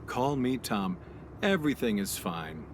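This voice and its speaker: A man's voice: mid-range voice, big pitch swings, a little slow, background noise. An actor playing a brash, overconfident male airline passenger who talks in a smug, self-assured way. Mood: breezy. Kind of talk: community radio play acting.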